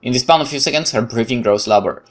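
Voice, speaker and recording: A man hosting a let's play, reading narration aloud in a measured, dramatic way with casual commentary. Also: medium pitch.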